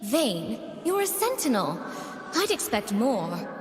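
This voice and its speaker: A high earnest doll girl game champion, theatrical, big reverb, music under it. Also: sing-song, slow. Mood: firm, challenging. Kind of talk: video game character voice lines.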